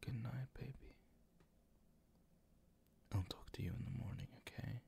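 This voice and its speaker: A man performing improvised boyfriend ASMR in a breathy, gravelly whisper, tender and soothing, close to the microphone. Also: lowish voice. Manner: tender, slow, soothing, gently coaxing.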